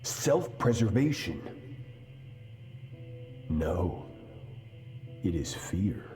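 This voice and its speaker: An actor playing a cruel, cold and imposing sword master in a video game, speaking deliberately with menacing command. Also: male voice, low-pitched, sing-song, music in the background, full character voice. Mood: ominous.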